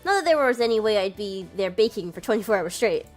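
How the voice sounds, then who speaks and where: A woman's voice: medium pitch, lively intonation, quick pace, faint music behind. A woman hosting a gaming video, reading a visual novel aloud and adding casual commentary.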